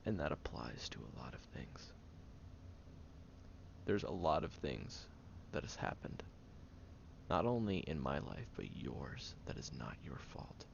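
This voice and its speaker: A muffled recording with some hiss carries a man acting as a guarded, prickly young man in an intimate audio roleplay. His low voice moves with lively inflection. He speaks haltingly and often hushed, stern but caring toward a sick friend. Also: creaky, heavily acted. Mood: exasperated, earnest.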